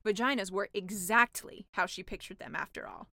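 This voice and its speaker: A woman reading a comic fairy tale as a scripted storybook narrator, expressive and mock-dramatic. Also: mid-range voice, moderate pace, no room sound. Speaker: author reading own story.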